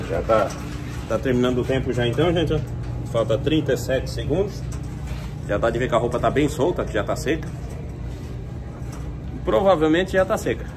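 A man with a Brazilian Portuguese accent chats informally to his viewers, narrating everyday chores as he goes with lots of colloquial diminutives. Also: mid-range voice. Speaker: vlogger. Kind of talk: casual vlog chat, narrating chores to viewers. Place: inside a self-service laundromat. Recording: noisy laundromat ambience.

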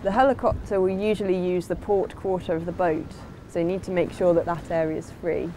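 A woman's voice: lowish voice, fairly even intonation, fairly quick, dark tone, slight background noise. A woman giving an instructional voice-over as a sailing instructor, in a plain, explanatory way.

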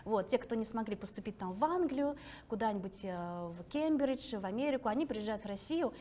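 A woman's voice: a little high, lively intonation, brisk, dark tone, a little hiss or hum. A woman in her thirties from Russia, talking casually and self-ironically to an audience in a library hall, laughing often. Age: 30s. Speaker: guest speaker.

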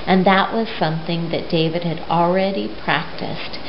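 A middle-aged woman doing storytime for young children, speaking simply with questions and pauses, recorded in a living room. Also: lowish voice, steady tone. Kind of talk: storytime talk and read-aloud for young children. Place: living room at home, indoors. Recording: roomy, dull sound with faint noise.

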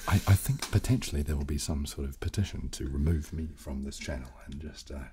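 A man in his thirties hosting in soft-spoken ASMR chat, close to a studio microphone. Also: very deep voice, fairly quick, background noise. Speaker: channel host. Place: small room, indoors. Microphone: close studio condenser microphone.

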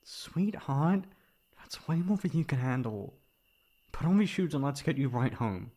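A young man playing a caring, mildly exasperated boyfriend in an ASMR roleplay, coaxing and teasing with breathy sighs. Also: medium pitch, expressive, a little slow, dark tone. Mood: concerned, coaxing.

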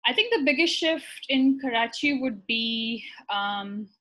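A young woman from Pakistan, a physician guest, talking casually over a video call from her apartment, pausing often with ums and restarting her sentences. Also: fairly high pitch, expressive, sharp tone, poor audio. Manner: thoughtful, many ums and restarts. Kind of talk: casual conversational interview. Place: bright apartment room, indoors. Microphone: laptop webcam on a video call.

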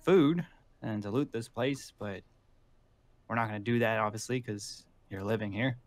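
A man's voice: on the low side, normal speed, lightly acted. A man playing a wary, practical zombie survivor in a casual improvised game roleplay, often questioning.

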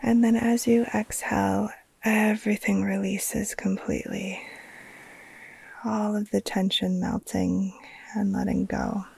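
Female yoga nidra guide: hushed, mellow and unhurried, breathy near-whisper with heavy vocal fry, soothing short phrases. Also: mid-range voice, flat delivery, dry recording. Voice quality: breathy, soft, often trailing into near-whisper. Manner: slow, soothing, hushed, with long pauses between short phrases. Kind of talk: guided relaxation meditation.

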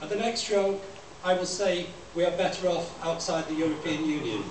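A man gives a measured, declamatory live reading of a poem to a room. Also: fairly high pitch. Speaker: poet. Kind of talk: live poetry reading, repetitive deadpan recitation. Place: small library room with audience. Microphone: microphone on stand, captured by distant camera. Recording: echoey room from far off, noisy.

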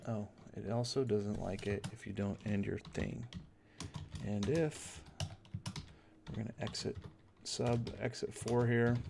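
Male tutorial instructor, slightly deep with noticeable fry, casual and unscripted, halting delivery, light background noise with keyboard clicks. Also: darkish tone. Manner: casual, halting step-by-step explaining. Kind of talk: informal unscripted screencast tutorial, thinking aloud. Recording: noisy room with keyboard clicks. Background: typing and mouse clicks.

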